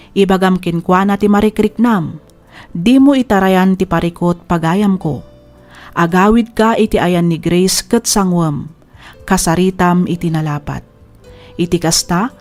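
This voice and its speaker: Female program host, scripted letter-reading and advice, medium pitch over a music bed. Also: a little mellow. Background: music under the voice.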